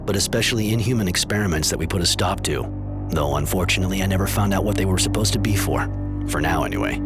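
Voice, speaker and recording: Actor as a sober, deep-voiced game hero. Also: male voice, lightly acted. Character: young male warrior mage, sober, determined narrator-hero. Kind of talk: game cutscene dialogue and diary narration.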